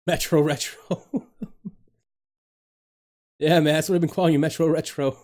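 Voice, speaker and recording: A man's voice: on the high side, steady tone, a little slow, darkish tone. A man from the Dominican Republic live streaming from home. He rambles to his chat, laughs a lot and swears casually.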